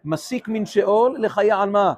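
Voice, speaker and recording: Here is an energetic man, giving a casual Torah class. His voice sits a little high for a man. He keeps jumping between English and Hebrew terms as he explains. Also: racing. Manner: animated, mixes English with Hebrew phrases. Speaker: rabbi teaching a class. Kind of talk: informal Torah class with questions.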